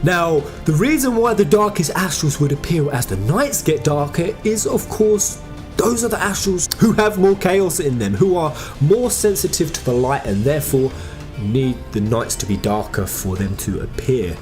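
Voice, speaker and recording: An animated host delivers fan theory commentary with music playing behind. The mid-range voice swings widely in pitch. The delivery is informal, emphatic and full of exclamation. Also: moderate pace. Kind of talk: excited fan theory commentary over game footage.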